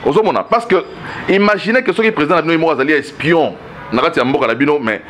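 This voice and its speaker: A man's voice: on the high side, very fast, fairly bright tone, slight background noise. A Congolese man giving political opinions in an interview, arguing with rhetorical questions and frequent check-ins with the listener. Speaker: political commentary guest.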